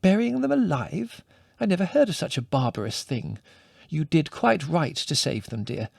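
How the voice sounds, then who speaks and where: A prim, flustered middle-aged mother who scolds and fusses in a raised, lively voice, as a male narrator puts her on. The audiobook sound is dry and close. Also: fairly high pitch, normal speed, vocal fry, dry recording, voice pushed up, exaggerated voice. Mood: indignant.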